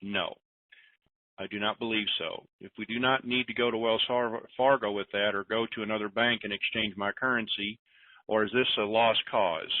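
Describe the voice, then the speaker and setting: A man's voice: on the low side, fairly even intonation, normal speed, no room sound. A man hosting a conference call, reading listener questions aloud and answering in a rambling, self-deprecating, joking way. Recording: tinny phone line.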